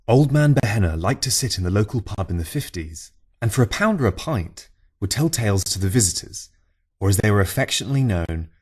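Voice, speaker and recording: A man's voice: fairly low pitch, darkish tone, breathy edge. A man reading scripted local history as an audiobook narrator, with a wry storytelling manner.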